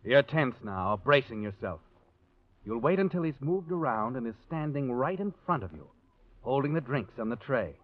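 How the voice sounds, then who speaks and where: A man's voice: mid-range voice, big pitch swings, normal speed, dry recording, natural voice. A man acting as a knowing, sinister narrator in 1940s radio suspense style, addressing the listener insinuatingly as you. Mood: knowing, ominous. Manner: insinuating second-person address. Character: knowing, sinister male narrator voicing a schemer's conscience. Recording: dull old radio recording with music.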